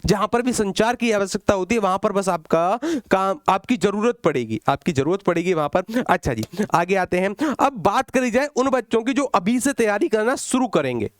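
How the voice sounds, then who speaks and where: High-pitched male coaching teacher. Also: lively intonation, moderate pace, few pauses, no echo, studio quality. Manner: asks questions and repeats the answers for emphasis; direct, conversational address to students. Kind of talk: exam-update lecture to students.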